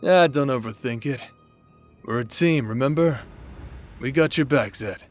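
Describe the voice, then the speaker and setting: A man's voice: medium pitch, unhurried, soft background music, close to an everyday voice. A man playing a brash, protective nobleman captain in a fantasy audio roleplay, with a casual, teasing, confident manner. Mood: friendly, teasing. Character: brash, protective adult nobleman warrior captain, easygoing but menacing.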